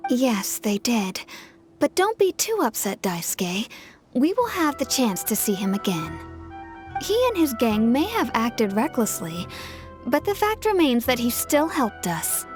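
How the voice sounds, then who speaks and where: A gentle, comforting female caretaker with a fairly high, lilting voice. She speaks softly and soothingly. Game music plays under her. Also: big pitch swings, a little slow. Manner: soft and soothing.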